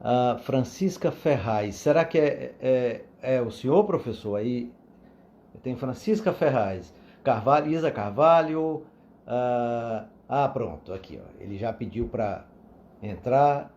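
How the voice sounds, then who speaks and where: A man's voice: medium pitch, animated, slow pace, darkish tone. A man hosting a live video interview, chatty and full of fillers and asides. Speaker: live stream host. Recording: echoey, dull lo-fi stream audio.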